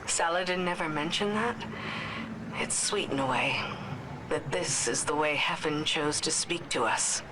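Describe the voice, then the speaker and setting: Cryptic game character, lowish pitch, formal, musing, noisy ambience. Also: female voice, unhurried. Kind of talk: dramatic video game cutscene acting. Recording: clearly noisy ambience behind the voice.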